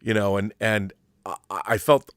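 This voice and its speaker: A man's voice: fairly low pitch, fairly even intonation, unhurried, no room sound, clean studio sound. A middle-aged American man co-hosting a casual film discussion, opinionated and emphatic, often posing rhetorical questions. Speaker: talk show co-host.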